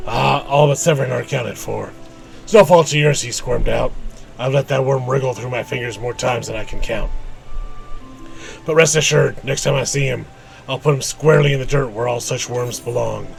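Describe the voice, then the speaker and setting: Man reading as a stern creaky old captain. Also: mid-range voice, moderate pace, faint music behind. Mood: stern, aggrieved. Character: stern old captain, aggrieved and distrustful, fantasy game.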